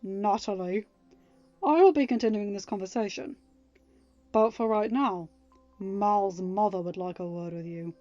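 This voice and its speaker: A woman's voice: medium pitch, unhurried, pauses often, dark tone, no room sound, lo-fi sound, faint music behind, natural voice. A woman voicing a devoted young royal man, fiercely protective and emphatic, in a storybook reading. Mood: cold, growling. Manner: emphatic, stern, near a growl when angry.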